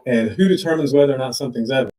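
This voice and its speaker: Male lawyer grilling a witness, medium pitch, leading questions, lots of echo. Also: very fast, dark tone. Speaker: defense attorney. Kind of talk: courtroom questioning. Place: courtroom. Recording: echoey courtroom playback on live stream.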